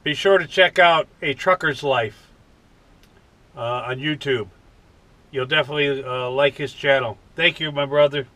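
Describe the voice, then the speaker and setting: An American man in his fifties talking in a folksy, unhurried way in a casual vlog from a truck cab. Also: mid-range voice, pushed and bright, no room sound. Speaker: vlogger. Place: inside a parked truck cab.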